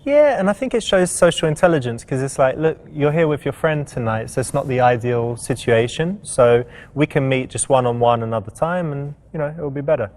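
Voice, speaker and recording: A man's voice: medium pitch, animated, a little fast, few pauses, a little mellow. A man presenting as a dating coach, giving casual, conversational advice with music behind him.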